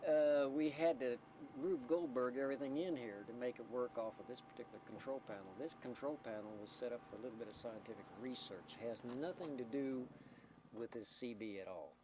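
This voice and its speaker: A man with an average-pitched voice explains his work as a small community radio operator. He talks informally and deliberately, picking his words with care. The old documentary tape carries clear, steady rumble and noise around him. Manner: halting, deliberate, choosing words carefully. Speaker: community CB radio station operator and announcer, interviewee. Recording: noisy 1990 documentary tape, vehicle or generator rumble.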